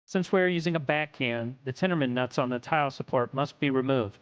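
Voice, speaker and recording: A man reads scripted technical narration for an instructional video in a measured, instructional way. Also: mid-range voice, clear, resonant tone, dry recording, lo-fi sound.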